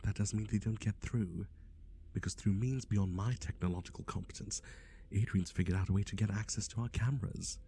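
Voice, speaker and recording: A man's voice: on the low side, fairly quick, mellow, a little hiss or hum, lightly acted. A man playing a flippant, scatterbrained matchmaking agency boss in an ASMR roleplay, rambling offhandedly and muttering asides to the listener. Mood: blasé, darkly comic. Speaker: voice actor.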